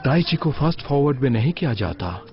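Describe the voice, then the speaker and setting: A man's voice: mid-range voice, very animated, a little fast. A man playing a stern, patient tai chi teacher in a dubbed cartoon, speaking in an instructive, scolding way. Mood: firm, instructive. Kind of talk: Hindi-dubbed animated film acting. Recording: dull lo-fi audio, faint music.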